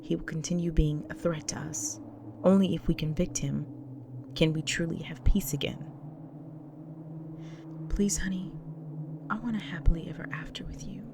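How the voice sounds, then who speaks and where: A woman playing a grave, devoted vampire wife in an intimate audio roleplay, speaking solemnly to the listener in an often breathy, whispered delivery. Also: low voice, steady tone, normal speed, long pauses, noisy background. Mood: pleading, earnest.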